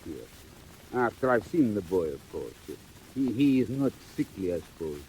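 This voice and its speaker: Deep, wheedling old crook. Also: male voice, sing-song, moderate pace, dark tone. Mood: ingratiating. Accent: British. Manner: oily, hesitant, chuckling, mock-courteous. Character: sly, wheedling old male crook running a boy gang. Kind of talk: 1940s dramatised audio acting. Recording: noisy vintage 1940s record.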